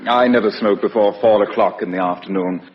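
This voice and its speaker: An actor playing a stuffy, self-satisfied gentleman with formal, pompous delivery in a 1950s radio drama. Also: on the low side, fairly even intonation, brisk, natural voice. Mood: stiff, pompous. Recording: muffled, reverberant vintage radio recording.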